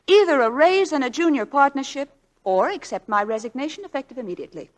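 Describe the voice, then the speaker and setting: An actress playing a devoted, coaxing young wife in a 1940s radio drama, speaking earnestly. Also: female voice, sits high, animated, fast. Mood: urging, coaxing. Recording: vintage 1940s radio broadcast.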